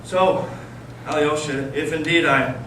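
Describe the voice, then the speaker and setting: A man playing a brooding, cynical intellectual in readers theater, speaking with measured, ironic intensity. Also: on the high side, fairly even intonation, a bit bright. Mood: tender, resigned. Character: brooding, cynical intellectual brother, a provocative storyteller. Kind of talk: staged readers theater dialogue. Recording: echoey noisy room, distant microphone.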